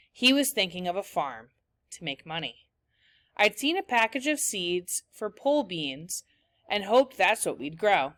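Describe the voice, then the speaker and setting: A woman teacher reading a children's novel aloud, with clear storytelling after a few discussion questions. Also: medium pitch, fairly bright tone, no echo.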